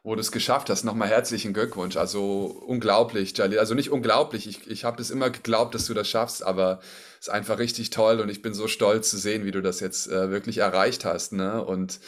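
A man's voice: lowish voice, fairly even intonation, a little fast. A man leading a casual interview, asking encouraging questions and often ending his sentences with a tag question.

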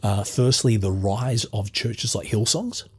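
An Australian man hosting a casual interview, talking in a halting way with many restarts as he searches for words. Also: fairly low pitch, darkish tone, slightly rough.

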